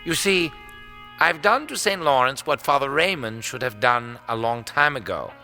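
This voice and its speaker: An actor playing a sadistic, gloating villain in an audio drama, smug, taunting and menacing. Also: male voice, mid-range voice, expressive, normal speed, bright, forceful tone. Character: sadistic, gloating male villain holding captives. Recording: music under the voice. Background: dramatic background music.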